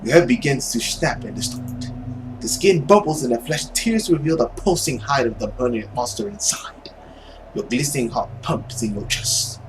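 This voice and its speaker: A man voicing a brooding, long-lived, loveless man, narrating a visual novel in a grave, dramatic and measured way. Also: medium pitch, animated, background noise, natural voice. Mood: dread.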